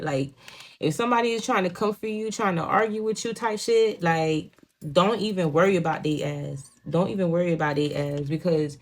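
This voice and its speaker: A young woman with a Haitian Creole accent, a native Creole speaker, giving a casual tarot reading in blunt, profane talk full of "you know what I'm saying". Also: fairly low pitch. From Haitian home. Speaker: tarot reader.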